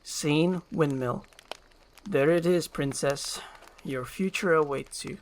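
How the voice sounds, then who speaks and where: Slow, gruff big male ogre as a reader acts him. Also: medium pitch, slight background noise. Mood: gruff, flat. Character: Shrek, gruff big grown male ogre, grudgingly kind.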